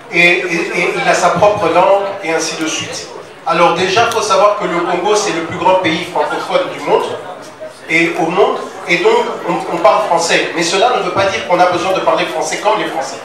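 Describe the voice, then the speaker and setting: A man speaking as a music platform representative at a press conference, halting with many fillers and repeated words. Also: sits high, fairly even intonation. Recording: echoey hall, distant mic, crowd noise.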